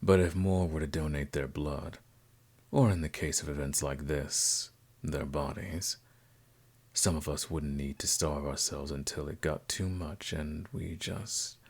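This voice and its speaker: A man playing a suave, courteous vampire nobleman in a scripted ASMR roleplay, speaking formally and softly in a gravelly voice. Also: exaggerated voice. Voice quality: very deep, gravelly. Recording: music playing behind the voice.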